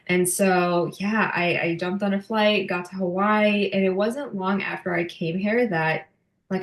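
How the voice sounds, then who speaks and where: A woman's voice: lowish voice, roomy sound. A woman who grew up in Norway, telling her story earnestly as a podcast guest. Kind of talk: podcast interview.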